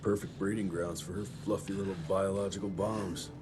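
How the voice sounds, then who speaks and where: A man's voice: sits low, level tone, fairly quick, music in the background, natural voice. An actor playing a wry, sardonic young male monster hunter in a game cutscene. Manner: dry, sardonic. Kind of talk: video game cutscene acting.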